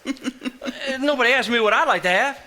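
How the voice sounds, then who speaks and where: A man's voice: very high voice, quick pace, sharp tone. A man acting a huffy, self-important, put-upon fellow in a live stage play, with noise around the voice. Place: theater stage before a live audience. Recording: noisy live stage, off mic.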